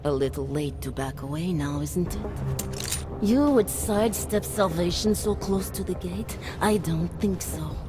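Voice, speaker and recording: A fanatical female killer from a video game, acted by a woman, low in pitch and full of menace. She preaches insistently like a self-appointed saviour, over prominent music. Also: measured pace, lightly acted. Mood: taunting, menacing. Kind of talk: video game cutscene acting. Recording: loud music underneath. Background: loud music.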